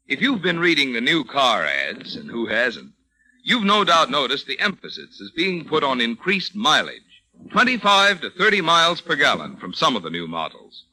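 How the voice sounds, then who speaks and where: A man's voice: fairly high pitch, pushed and bright, rough recording. A man working as a radio announcer, reading a scripted 1940s advertisement with emphatic salesmanship and rhetorical questions. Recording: old radio recording, faint noise.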